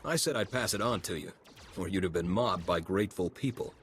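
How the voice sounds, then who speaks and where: An easygoing camp helper in a sci-fi game. He talks in a low, conversational voice with wry sympathy, over faint background noise. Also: a little fast, a bit bright. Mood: sympathetic. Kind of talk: video game voice acting.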